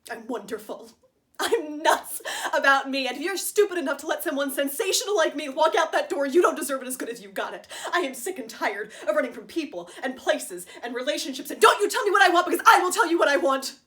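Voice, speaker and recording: A woman's voice: medium pitch, normal speed, pauses often, lightly acted. A woman acting a dramatic stage monologue as a wounded, defiant wife pleading fiercely with her husband, emphatic and emotionally raw. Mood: fiery, exasperated.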